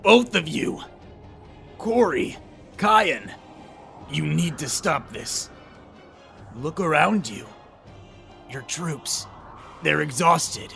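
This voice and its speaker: Man as anxious young cartoon hero, earnest, halting, slow-paced, creaky, music behind. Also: a little high, animated, sharp tone, full character voice. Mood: desperate pleading. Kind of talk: animated fantasy character acting.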